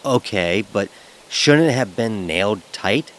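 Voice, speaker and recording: An actor playing a nervous young fraternity pledge, narrating his own horror story with measured suspense. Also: lowish voice, animated, rough recording. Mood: tense, suspenseful. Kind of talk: dramatic first-person horror narration.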